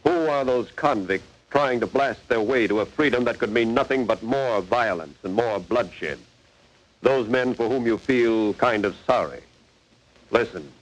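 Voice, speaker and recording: A man playing a stern, authoritative narrator of a 1940s radio crime drama, with emphatic, deliberate phrasing. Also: mid-range voice, expressive, soft background music, poor audio. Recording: vintage 1940s radio broadcast.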